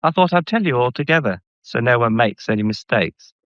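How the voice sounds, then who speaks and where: An actor playing an insolent, scheming English blackmailer in a mystery radio drama, talking with smug, deliberate menace. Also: male voice, mid-range voice, lively intonation, dry recording, lightly acted. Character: insolent, scheming male gallery employee turned blackmailer. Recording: dull, muffled radio drama recording.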